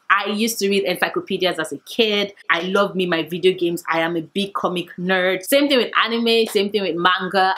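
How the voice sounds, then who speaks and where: A woman's voice: fairly low pitch, fairly bright tone. A talkative, playful woman in her late twenties chatting to camera about books. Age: 20s. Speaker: book vlogger. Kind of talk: casual chat to camera.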